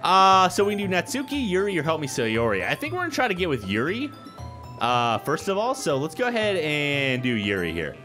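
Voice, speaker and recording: A man's voice: fairly high pitch, wildly swooping pitch, a little fast, pushed and bright. A young man hosting a gaming video, rambling informally and reading aloud as he gives casual let's play commentary. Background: game music.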